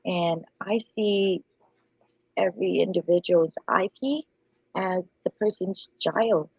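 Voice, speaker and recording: A woman's voice: on the low side, slow, creaky, darkish tone, breathy edge, no echo, poor audio. A woman from Asia, a native Vietnamese speaker, chatting casually as a podcast guest, her voice off from a lingering illness. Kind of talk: casual chat.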